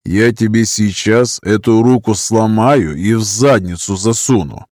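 A man's voice: mid-range voice, measured pace, no echo, studio quality, natural voice. A man voicing a huge, scarred criminal who makes menacing, drawling threats in prison slang in an audiobook story. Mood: seething, menacing.

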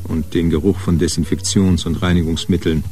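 A man narrates a crime radio play from a literary script. His voice is deep and mellow, with faint music behind it. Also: level tone, brisk.

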